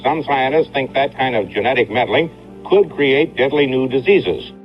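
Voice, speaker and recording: A man reading 1970s broadcast news in a measured, explanatory way, on dull archival audio. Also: medium pitch, faint music behind. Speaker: news reporter.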